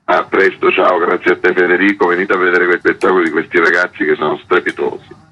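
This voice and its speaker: A man's voice: deep voice, level tone, quick pace, sharp tone, soft background music. A man talking casually as an event organizer in a radio interview. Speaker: theatre festival organizer, interview guest. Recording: dull lo-fi radio sound.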